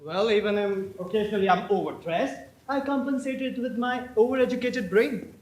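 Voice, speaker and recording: Male actor as very high-pitched young gentleman, flippant and lovestruck, theatrical stage comedy, echoey recording. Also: normal speed, close to an everyday voice. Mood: teasing, flippant. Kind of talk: amateur stage comedy acting.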